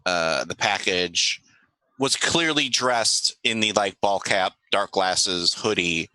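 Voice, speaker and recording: A man acting as game master, improvising narration for a tabletop game in an informal, halting way. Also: deep voice, pushed and bright, no echo, poor audio.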